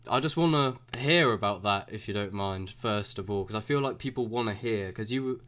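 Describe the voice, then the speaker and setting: Male podcast host, casual slangy rambler, fairly low voice. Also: moderate pace, a bit bright.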